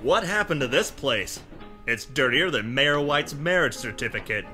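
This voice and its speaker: A man playing a self-important adventurer, narrating in a deadpan, melodramatic parody of a pulp radio serial. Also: medium pitch, normal speed. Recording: reverberant voice under loud music.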